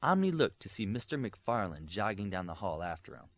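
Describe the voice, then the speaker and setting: A low-voiced man reads a scripted novel aloud as an audiobook narrator. His delivery moves widely in pitch as he tells the story. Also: very animated, brisk. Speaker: audiobook reader. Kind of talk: scripted fiction narration with character dialogue.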